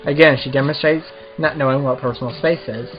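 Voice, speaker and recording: A man's voice: medium pitch, fairly even intonation, a little fast, lo-fi sound, soft background music. A man doing a casual let's play, reading visual novel text aloud and commenting as the host of a gaming video.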